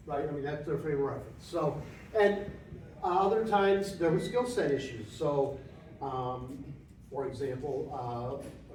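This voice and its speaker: A man's voice: on the high side, mellow. A man presenting findings to a panel audience, in a conversational way with frequent restarts and fillers. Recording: echoey room, mic far off, faint noise.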